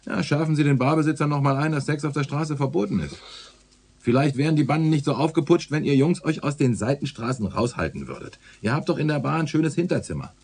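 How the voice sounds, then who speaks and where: An actor playing a weary, dryly sardonic homicide detective in a naturalistic radio drama, speaking in a laconic way. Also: male voice, fairly high pitch, lively intonation, quick pace, a little mellow, breathy edge. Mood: brisk, firm.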